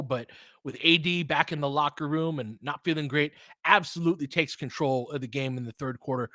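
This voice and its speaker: A young man doing live play-by-play commentary, excitable, with quick asides and frequent laughs. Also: on the high side, no echo, clean studio sound. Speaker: fan play-by-play host.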